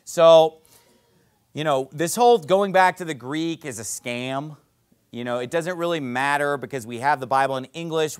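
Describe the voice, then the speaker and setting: A man preaching a sermon as pastor in a church, emphatic, with loud peaks, low drops and sarcastic asides. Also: smooth.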